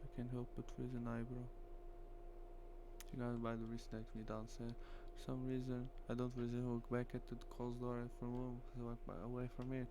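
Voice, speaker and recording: Creaky-voiced man reading a visual novel. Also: mid-range voice, completely flat delivery, moderate pace. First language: Portuguese. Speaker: streamer. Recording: faint hum and noise.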